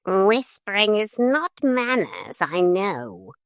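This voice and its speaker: A woman's voice: medium pitch, huge pitch swings, slow, no room sound, clean studio sound, pitched above the performer's own voice, exaggerated voice. A woman voicing a fussy, formal male parrot who scolds and threatens politely. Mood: prim scolding. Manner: prim, formal, pompous.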